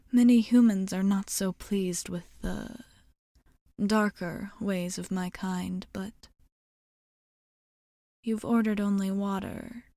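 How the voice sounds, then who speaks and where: A woman playing a courteous, seductive female vampire bartender in a soft ASMR roleplay, speaking formally and gently, often in a breathy whisper, close to the microphone. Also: medium pitch, no room sound. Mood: curious, measured. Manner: slow, measured, formal, gently coaxing.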